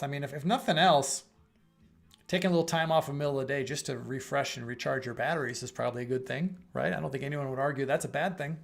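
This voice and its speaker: Gravelly man hosting a live Q&A. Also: mid-range voice. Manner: conversational, thinking aloud with fillers and restarts. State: losing his voice, clearing throat. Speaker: host of live trading room. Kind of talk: casual live-stream Q&A.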